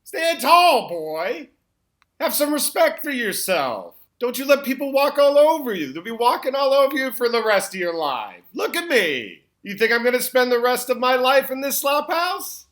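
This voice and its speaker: A woman's voice: high voice, sing-song, normal speed, fairly bright tone. A woman playing an earnest, ambitious young male busboy, emphatic and exhorting, in a comedic table read. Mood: indignant, determined. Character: earnest, ambitious eighteen-year-old male busboy, proud.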